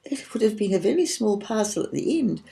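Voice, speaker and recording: A woman voicing a patient, helpful young girl, a big sister gently encouraging in a children's storybook. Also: mid-range voice, sing-song, fairly quick, vocal fry, pitched above the performer's own voice. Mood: curious.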